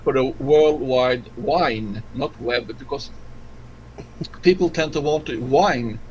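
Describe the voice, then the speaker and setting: A man on a video call speaking in a measured way, with a taste for wordplay. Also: medium pitch, a little mellow, dull audio, slight background noise. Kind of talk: casual group chat.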